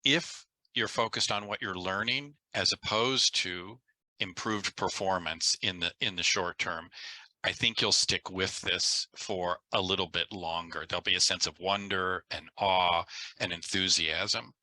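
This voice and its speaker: Deep-voiced man, webinar host; crisp bright tone, dry close sound, measured delivery. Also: fairly even intonation, dry recording, poor audio. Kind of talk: webinar discussion. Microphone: video call.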